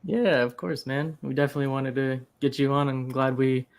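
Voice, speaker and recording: A man's voice: medium pitch, fairly even intonation, fairly quick, creaky. A man hosting a casual livestream chat about collectibles, laid-back and easygoing, often calling people "man", speaking over a video call. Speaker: host.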